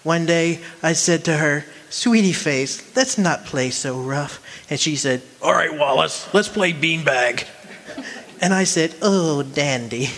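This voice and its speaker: A man performs a mild, henpecked little man in a vintage radio comedy. His voice is a bit high, timid and prim, stretching his words. There is faint noise on the recording. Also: fairly bright tone. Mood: wistful, chuckling. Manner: timid, prim, drawn-out delivery. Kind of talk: old-time radio comedy acting.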